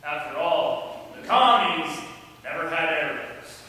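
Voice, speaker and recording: A man's voice: fairly high pitch, animated, slow, pushed and bright. A man playing a satirical news reporter in a student stage performance, recorded from far off in an echoey, noisy hall. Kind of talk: student reader's theatre performance.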